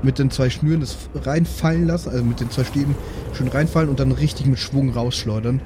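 A man's voice: medium pitch, fairly even intonation, brisk, noisy background. A man playing a tabletop game, talking casually and informally in slang.

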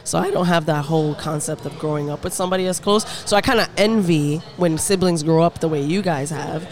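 A man hosting a podcast, chatting casually with guests in an animated, teasing way. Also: fairly high pitch. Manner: animated, teasing, quick reactions. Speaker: podcast host. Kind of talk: casual chat.

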